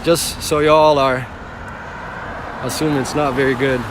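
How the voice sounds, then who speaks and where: A man's voice: medium pitch, little pitch movement, measured pace, noisy background. A man from the former Yugoslavia chatting casually with livestream viewers from inside a car, rambling, repeating himself and answering the chat. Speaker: rideshare driver livestreaming. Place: inside a car on city streets.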